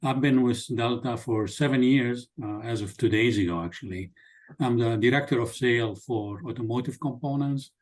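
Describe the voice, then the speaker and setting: A man's voice: mid-range voice, normal speed, darkish tone. A man who is a sales director, giving a casual self-introduction on a video call. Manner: casual, repeats himself.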